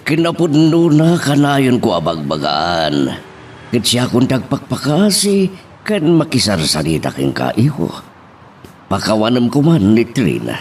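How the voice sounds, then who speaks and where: Guy playing stern dad in a drama, really animated pitch, bit of background noise. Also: measured pace. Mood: admonishing. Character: stern father admonishing his grown children. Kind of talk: radio drama acting.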